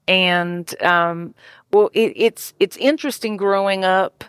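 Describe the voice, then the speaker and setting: A woman's voice: medium pitch, steady tone, slow pace, smooth, no room sound, clean studio sound. A woman from Louisiana with a Cajun accent, telling stories in a chatty way with many fillers and restarts. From Louisiana, United States. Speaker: guest. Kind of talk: casual storytelling talk.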